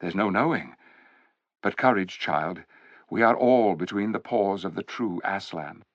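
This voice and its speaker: A man voicing a steady, calm male king who whispers reassurance in a storybook reading. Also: low voice, a little slow, no room sound. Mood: hushed steady. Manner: hushed, steady. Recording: dull lo-fi recording.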